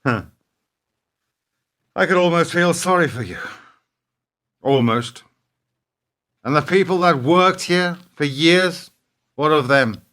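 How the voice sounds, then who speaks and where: A man acting a wounded, principled businessman, bright-voiced. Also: on the high side, lively intonation. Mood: bitter, wry. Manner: halting, wry, pointed. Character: wounded, principled businessman confronting his treacherous partner. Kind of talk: radio play acting.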